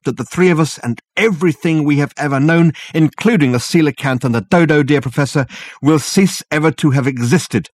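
A man reading a story, voicing an eccentric, excitable detective who declaims emphatically. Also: fairly high pitch, a little fast, dry recording, voice pushed up, lightly acted. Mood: grim calm.

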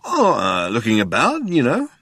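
A man's voice: medium pitch, huge pitch swings, normal speed, pushed and bright, slightly rough, no room sound, clean studio sound, exaggerated voice. A man voicing a laid-back, evasive alien show-off in a comic audiobook, with affected nonchalance. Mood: breezy, evasive. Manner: affected nonchalance, hesitant stammering when cornered.

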